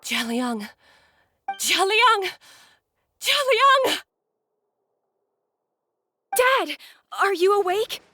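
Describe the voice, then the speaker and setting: A woman's voice: extremely high pitch, very animated, drawn-out words, pauses often, pushed and bright, no room sound, full character voice. An actress voicing an earnest, devoted little girl in a video game, childlike and urgent. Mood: anxious.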